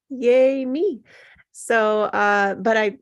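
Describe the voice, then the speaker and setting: Female host, slightly high voice, informal group-discussion style. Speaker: host of online table read.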